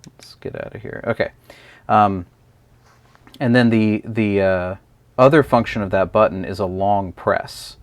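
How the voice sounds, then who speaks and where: A man with a fairly low voice talks through a gadget in a casual review style. His delivery is unscripted and hesitant as he explains things. The sound is dry and close, with little room to it. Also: unhurried, long pauses, no room sound. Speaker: product reviewer.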